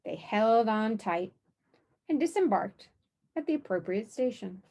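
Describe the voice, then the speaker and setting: Female children's author, mid-pitched voice, storybook read-aloud narration. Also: very animated, measured pace, mellow. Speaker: children's author reading own book.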